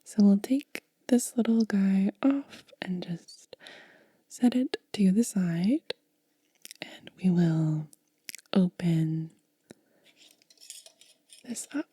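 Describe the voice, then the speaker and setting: A woman whispering in soft-spoken ASMR style, gently and unhurriedly explaining each step close to the microphone. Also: mid-range voice, very slow, pauses often, no echo. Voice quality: breathy whisper. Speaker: ASMR content creator.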